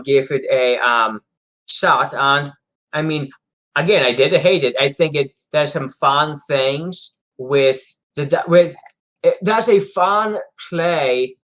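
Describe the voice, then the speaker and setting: Male co-host, fairly high register, hesitant and repetitive, for casual fan discussion. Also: a bit bright. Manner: hesitant, rambling, repeats himself. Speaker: co-host of a Doctor Who discussion show.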